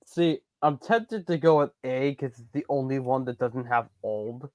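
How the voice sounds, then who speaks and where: A man's voice: mid-range voice, measured pace, darkish tone, no room sound. A man takes his turn as a quiz contestant on a stream. He jokes and teases as he picks his answers, in an ordinary mid-range voice. The sound is dry and close. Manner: joking, teasing. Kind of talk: casual joking chat.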